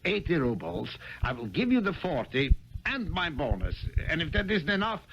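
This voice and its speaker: An actor playing a meek, poor clerk in a 1970s radio drama, hesitant, stammering and apologetic. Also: male voice, a little high, wildly swooping pitch, normal speed, fairly bright tone, exaggerated voice. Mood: desperate, earnest. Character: timid, poor, pleading male clerk, meek and earnest. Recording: muffled, noisy old radio broadcast.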